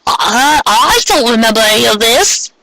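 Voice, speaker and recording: A teenage girl, played by a man, bewildered and anxious in a fan fiction drama. The voice is pitched very high and swoops around with exaggerated, questioning delivery, in a bright tone. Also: expressive, moderate pace, gravelly, no echo, full character voice, low-quality recording.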